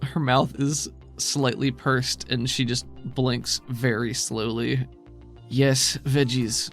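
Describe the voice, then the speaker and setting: A man's voice: mid-range voice, fairly even intonation, takes it slowly, soft background music. A man narrating a tabletop game as game master in a casual style.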